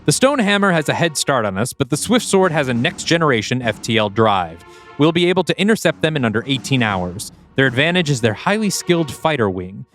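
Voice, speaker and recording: A man voicing a stern military commander giving a crisp briefing to troops. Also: mid-range voice, big pitch swings, fairly quick, a bit bright, soft background music. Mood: commanding. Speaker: podcast co-host. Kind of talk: reading a script aloud with character voices.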